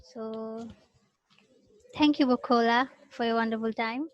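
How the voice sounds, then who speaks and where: A woman's voice: a little high, fairly even intonation, unhurried, dry recording, dull audio. Polite woman hosting a webinar, dry sound. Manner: polite, formal introductions. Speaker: webinar host. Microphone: video call.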